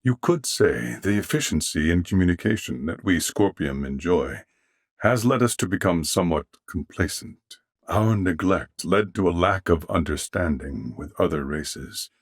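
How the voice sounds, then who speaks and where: A man voicing a courteous, earnest mechanical alien life form in a video game, speaking formally and with measured, polite precision in a husky voice. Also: low-pitched, expressive, no echo, studio quality. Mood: calm, explanatory. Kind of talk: video game character dialogue.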